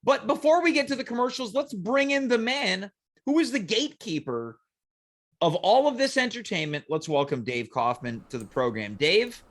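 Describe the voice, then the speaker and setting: Guy hosting a show, kinda high voice, really up and down, theatrical pauses. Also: animated, a bit bright. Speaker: talk show host. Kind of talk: deliberate, theatrical show intro and banter.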